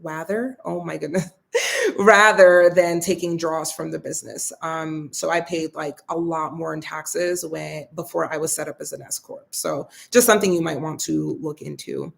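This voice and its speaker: Chatty young woman with a gravelly edge. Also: deep voice, fairly even intonation, moderate pace. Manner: chatty, friendly, reads comments aloud then answers. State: getting a bit raspy from talking. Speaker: host answering viewer questions. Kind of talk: casual live Q&A.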